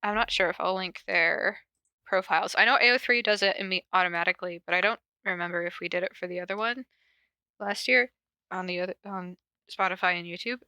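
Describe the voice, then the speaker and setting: A woman hosting a casual podcast, reading stories aloud and chatting between them. Her voice is bright and forward, with a very dry, close sound. She keeps an informal, giggly manner. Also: lowish voice, fairly quick, clear, resonant tone, dry recording. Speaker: podcast host reading fan fiction aloud. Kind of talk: casual chat and cold reading of fan fiction.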